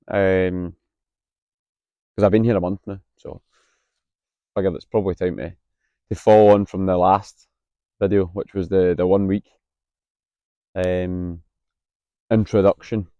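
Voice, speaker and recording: A Scottish man in his late twenties, a little husky from being unwell, talking candidly to camera outdoors with plenty of hesitant ums. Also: low-pitched, level tone, measured pace, long pauses, no echo, muffled recording. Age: 20s. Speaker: vlogger, university student. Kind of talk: casual vlog to camera. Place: outdoors on a campus.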